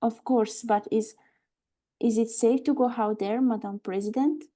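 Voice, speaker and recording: Actress as an earnest, mellow-voiced woman. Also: fairly high pitch, steady tone, measured pace. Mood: uneasy, respectful. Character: earnest, frank warehouse woman named Aoife, uneasy but composed. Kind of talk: live screenplay table read.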